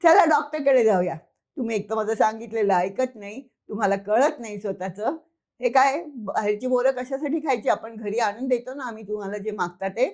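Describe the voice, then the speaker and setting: A woman's voice: a little high, fairly quick, a little mellow, lightly acted. A woman playing a stern mother in a children's story, scolding with exasperated rhetorical questions.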